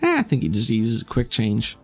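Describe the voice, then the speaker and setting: A man voicing a gruff, streetwise invisible woman spy in a tabletop roleplay, talking in a wry, slangy drawl. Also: mid-range voice, wildly swooping pitch, fairly quick, faint music behind. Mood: matter-of-fact. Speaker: game master.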